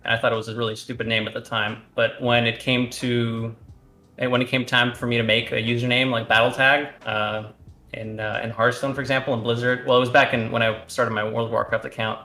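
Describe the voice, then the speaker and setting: A man's voice: mid-range voice, little pitch movement, a little fast, sharp tone, echoey room. A man from Romania answering interview questions casually, rambling with fillers and restarts. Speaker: guest, competitive card game player.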